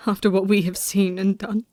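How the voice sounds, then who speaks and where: A woman's voice: medium pitch, warm tone, close to an everyday voice. Earnest young Victorian woman played by an actress. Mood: somber. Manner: earnest, formal phrasing. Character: Mina, resolute grieving young Victorian Englishwoman. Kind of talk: audio drama acting.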